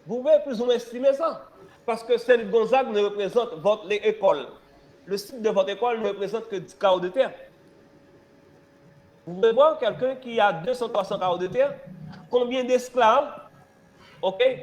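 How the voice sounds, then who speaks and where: Hoarse male teacher with a cold. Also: high-pitched. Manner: repetitive, spells words out letter by letter; emphatic rising stresses, rhetorical questions. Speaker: history teacher. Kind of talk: classroom dictation lesson. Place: classroom.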